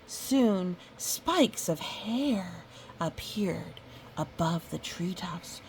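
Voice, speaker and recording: Slow, expressive woman storyteller. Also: fairly low pitch, slight background noise, low-quality recording. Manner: expressive, exclaiming, addresses young listeners. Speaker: picture-book read-aloud host. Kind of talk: children's storybook narration with chatty asides.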